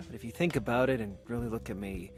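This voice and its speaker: An actor playing an eager, awkward self-made merchant of peasant birth in a visual novel, earnest and halting, sometimes stammering. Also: male voice, mid-range voice, soft background music, lightly acted. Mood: musing. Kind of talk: naturalistic visual novel acting.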